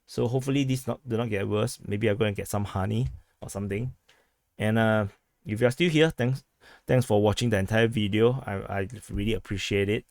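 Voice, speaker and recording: A hoarse man talks through a casual vlog update. His voice sits on the low side and sounds a bit worn. He rambles in a halting way, with many restarts and fillers, and the sound is dry and close. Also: dry recording. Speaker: vlog host giving news updates. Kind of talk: casual spoken commentary.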